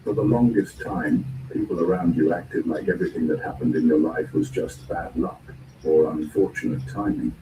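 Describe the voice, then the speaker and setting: A man delivering a scripted spoken-word motivational narration in deliberate, measured phrases and short emphatic statements. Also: rumbling low, fairly even intonation. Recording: roomy, distant, clearly noisy recording.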